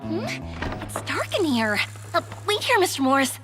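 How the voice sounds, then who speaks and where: A woman's voice: high-pitched, big pitch swings, moderate pace, loud music behind the voice. A woman voicing a cheery, persuasive young fireworks maker in a video game, bubbly and coaxing.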